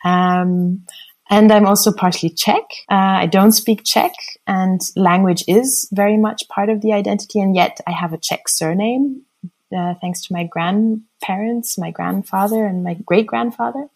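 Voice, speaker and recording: A middle-aged woman with a mixed German and American accent, giving thoughtful, hesitant interview answers with many pauses. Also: mid-range voice, a little mellow. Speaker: interview guest.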